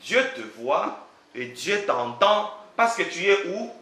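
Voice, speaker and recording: A male pastor preaching in a roomy, echoing space with a faint hiss underneath. His voice is bright and forceful, swinging widely in pitch as he builds emphatic rhetorical questions. He speaks French as a second language. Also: big pitch swings, takes it slowly. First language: not French. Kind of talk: sermon.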